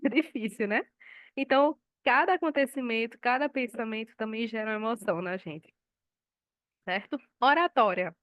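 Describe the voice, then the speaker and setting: A woman's voice: a little high, moderate pace, fairly bright tone, dry recording, highs cut off. A woman teaching a class in a conversational way, asking her students questions and acting out quoted dialogue. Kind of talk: live online class lecture.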